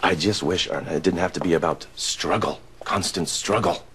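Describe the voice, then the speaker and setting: A man's voice: sits low, moderate pace, noisy background, natural voice. An actor playing an uneasy 1930s male radio executive, ingratiating and hedging, repeating himself in a period drama. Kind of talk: period television drama acting.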